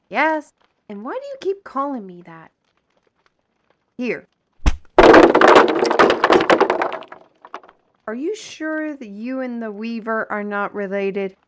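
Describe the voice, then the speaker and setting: A woman's voice: on the high side, very animated, slow pace, long pauses, muffled recording, exaggerated voice. A woman playing a wry, businesslike colleague in a fantasy audio drama, speaking in a dry, explanatory manner. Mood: exasperated.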